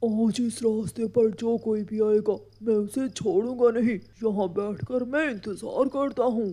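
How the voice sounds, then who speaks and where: A woman voicing a dangerous male owl in a cartoon, speaking in a quiet, menacing way. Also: a little high, steady tone, a little slow.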